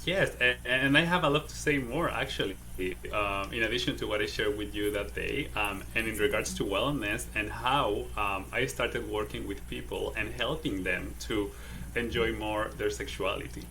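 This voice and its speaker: A man's voice: lowish voice, moderate pace, bright, forceful tone, a little hiss or hum, poor audio. A man from Chile, a wellness coach speaking English as a second language, talking hesitantly as a guest on a livestream. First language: Spanish. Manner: hesitant, says "okay?" often. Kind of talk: casual livestream interview.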